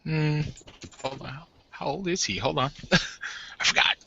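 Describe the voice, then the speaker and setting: A man's voice: fairly high pitch, measured pace, gravelly, bright, forceful tone, slightly rough, lo-fi sound. A man casually roleplaying as a player in a tabletop game session.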